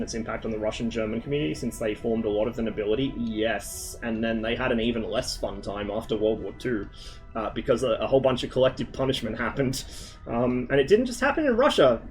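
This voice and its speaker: A man's voice: medium pitch, fast talker, soft background music, poor audio. A man streaming to his viewers in loose, rambling chat, swearing often and wandering between tangents, with a slight lisp on his L sounds and a lingering cough. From Australia. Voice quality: mild speech impediment on L sounds.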